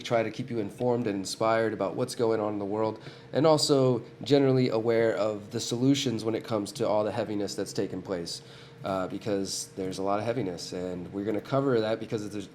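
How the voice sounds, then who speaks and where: A man with a fairly low voice hosts a live stream while fighting a cold. He talks to his viewers in an earnest, direct way, restarting phrases as he goes. Faint room noise sits behind him. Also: a little mellow. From United States. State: has a cold. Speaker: host of a weekly live stream. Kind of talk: casual live-stream commentary.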